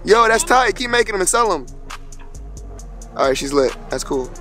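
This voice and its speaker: A man's voice: high voice, big pitch swings, normal speed, sharp tone, soft background music. A young American man in his twenties, casually chatting and joking with strangers on a video call app. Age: 20s. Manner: playful, teasing, laughs often.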